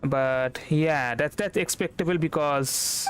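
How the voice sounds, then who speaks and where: A man's voice: on the high side, takes it slowly, slight background noise. A young man, casually commentating a game livestream in a rambling way, repeating words. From India. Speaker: streamer. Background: game music.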